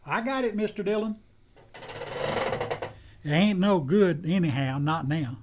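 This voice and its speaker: A man's voice: sits high, measured pace, noisy background. An actor playing a folksy, fussy American deputy who is loyal to his marshal, in a western radio play. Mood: eager, helpful. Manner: folksy rustic drawl, fussy and deferential. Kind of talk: old-time western radio play acting.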